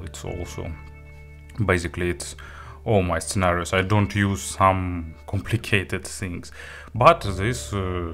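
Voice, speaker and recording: A man giving a casual spoken product review as an audio gear reviewer, in a halting way with frequent filler words. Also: low voice, creaky, fairly bright tone.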